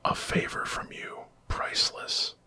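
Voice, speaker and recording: Guy doing a sly smug villain, taunting in a bright whisper, asmr horror tale. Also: measured pace. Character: sly, mocking male villain.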